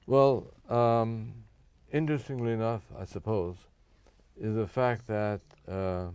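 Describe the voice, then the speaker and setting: An older man born in Japan, answering interview questions in a measured, careful way with frequent fillers and restarts. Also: lowish voice, fairly even intonation, a little mellow, smooth, dry recording. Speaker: guest.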